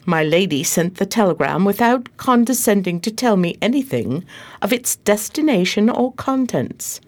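A woman playing a loyal, long-suffering detective's assistant, narrating and acting in a slightly prim, expressive radio play style. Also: mid-range voice, normal speed, lightly acted.

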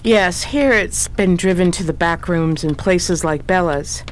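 An actress playing a shrewd, self-assured woman sleuth in a radio drama, with a brisk, knowing, wry delivery. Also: on the low side, a little hiss or hum, close to an everyday voice. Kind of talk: old-time radio drama acting.

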